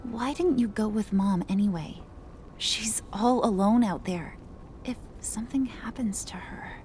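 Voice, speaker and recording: An actress voicing a sulky, doubting teenage daughter in a video game, complaining and blunt. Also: female voice, mid-range voice, a little slow, a little mellow, slight background noise, close to an everyday voice. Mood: frustrated, worried. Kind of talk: video game cutscene acting.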